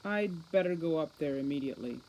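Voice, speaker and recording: A woman's voice: low voice, expressive, fairly quick, a little mellow, rough recording. A woman voicing a serious young boy mastermind in a storybook, anxious beneath clipped, formal speech. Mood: restrained. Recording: faint background noise.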